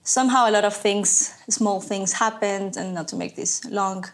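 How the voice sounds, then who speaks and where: Lively woman from Ecuador telling her story. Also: mid-range voice. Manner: lively storyteller, eager and quick. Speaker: guest, animator. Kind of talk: casual interview chat.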